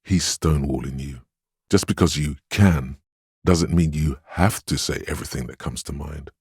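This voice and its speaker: A man voicing a video game character's inner voice of willpower, solemn, measured and reassuring, giving earnest counsel. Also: a bass voice, darkish tone, husky, no room sound, clean studio sound, exaggerated voice. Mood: counselling. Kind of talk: scripted inner-voice narration for a role-playing game.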